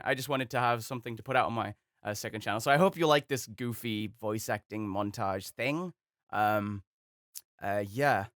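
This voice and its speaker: A man's voice: medium pitch, a bit bright, no echo, clean studio sound. A young man who voices characters as a hobby, talking in a hesitant, self-deprecating, chatty way. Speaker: hobby voice actor. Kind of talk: casual commentary.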